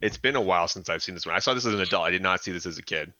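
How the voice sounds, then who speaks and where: Deep-voiced, dry fact-checking man. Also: rapid-fire, pushed and bright, lo-fi sound. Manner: dry, matter-of-fact, reads facts aloud. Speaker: podcast co-host, fact checker. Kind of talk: casual chat about old films.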